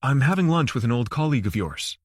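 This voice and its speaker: Man playing a wry, blunt ex-lawyer, mid-pitched. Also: expressive, fast, no echo, studio quality, natural voice. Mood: easy. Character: Vance Courage, wry, blunt male ex-lawyer.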